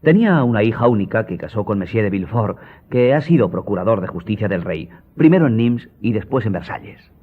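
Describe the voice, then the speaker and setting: An actor playing a courteous, talkative doorkeeper in an audio drama, deferential and chatty. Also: male voice, on the low side, lively intonation, fairly quick. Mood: deferential, informative. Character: courteous, talkative male doorkeeper of a country house. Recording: muffled, band-limited audio.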